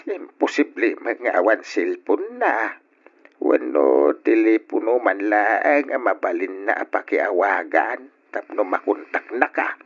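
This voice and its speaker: A man's voice: on the low side, very animated, slow pace, slightly rough, full character voice. A man acting an insistent, concerned older father in an old Ilocano radio drama. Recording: dull old radio recording.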